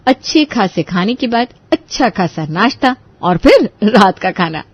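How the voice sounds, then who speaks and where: A woman's voice: mid-range voice, sing-song, measured pace, husky, no echo. A woman narrating a scripted bedtime story as a storyteller.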